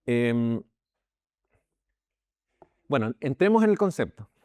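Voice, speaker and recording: A man's voice: medium pitch, big pitch swings, takes it slowly, mellow, no echo. A man with a Chilean accent, a pastor giving an informal Bible lesson in a conversational way, often checking in with his listeners and restarting his sentences. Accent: Chilean Spanish. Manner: many restarts and repeated words. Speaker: pastor teaching a Bible class.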